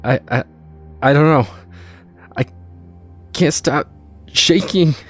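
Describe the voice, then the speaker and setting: An actor playing a frightened teenage boy, narrating haltingly in the first person in an audio drama. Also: on the high side, soft background music. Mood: shaking, panicked.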